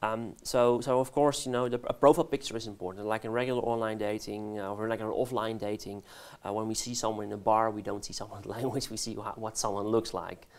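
Dutch-accented man, halting studio guest. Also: fairly low pitch, flat delivery. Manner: halting, many restarts and fillers, laughs easily. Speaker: guest researcher. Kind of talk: casual interview answers. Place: studio set. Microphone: lapel.

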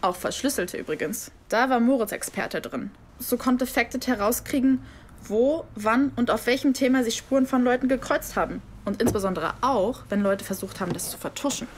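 An actress playing a guarded young female journalist who recounts events calmly with hesitations. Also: a little high, moderate pace, a little hiss or hum, natural voice. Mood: explaining. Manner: calm, reflective narration with hesitations. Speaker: Emilia. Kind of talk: audio drama acting.

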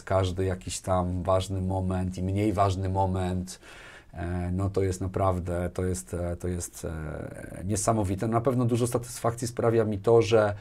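A middle-aged man talking casually as an interview guest, often repeating words as he thinks, on a lapel mic in a small studio. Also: deep voice. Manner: animated hand gestures, repeats words as he thinks. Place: small furnished indoor studio.

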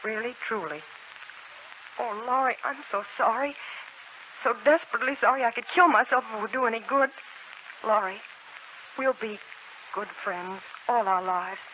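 A woman's voice: a little high, normal speed, long pauses, lightly acted. An actress in a 1940s American radio drama playing an earnest, headstrong young woman, anguished and tender in an emotional delivery. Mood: anguished, apologetic. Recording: muffled, noisy 1940s radio recording.

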